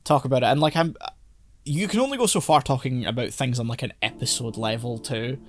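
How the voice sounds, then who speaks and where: A man's voice: medium pitch, a little fast, no echo. Rambling man chatting about anime. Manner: rambling, lots of "like" and "um" fillers. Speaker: podcast co-host. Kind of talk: casual chat about anime. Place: home, recording online.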